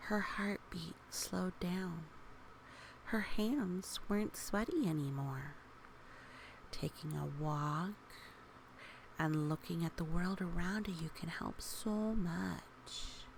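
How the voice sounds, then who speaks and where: Slow, creaky-voiced woman reading a children's story. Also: lowish voice, poor audio. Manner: gentle, encouraging, talking directly to young listeners. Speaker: storybook reader. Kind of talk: read-aloud children's story with casual asides. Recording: faint background noise.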